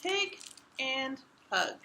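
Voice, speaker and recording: Lively, high-pitched woman reading to toddlers. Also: very slow, noisy background. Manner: sing-song, simple, emphatic phrasing for small children. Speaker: children's library story-time reader. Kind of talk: animated picture-book read-aloud for toddlers.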